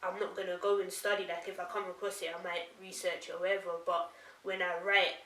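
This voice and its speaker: A woman's voice: lowish voice, level tone, fairly quick, pushed and bright, far from the mic. A woman chatting casually as an interview guest, using plain, informal words. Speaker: guest, author. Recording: echoey room, off mic, faint hiss.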